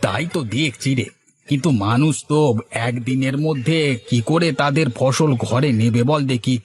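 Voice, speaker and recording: A man's voice: medium pitch, moderate pace, close to an everyday voice. A man voicing a concerned, neighbourly village farmer in a cartoon moral story, talking in a folksy, exclamatory way.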